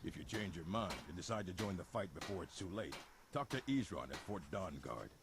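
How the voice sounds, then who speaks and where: A grim warrior recruiting vampire hunters in a fantasy game. His voice is deep and gravelly, gruff and scornful, rising and falling with urgency over noticeable background noise. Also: animated, fairly quick. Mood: grim, urgent. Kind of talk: fantasy video game character acting. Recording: clear background noise with animal sounds.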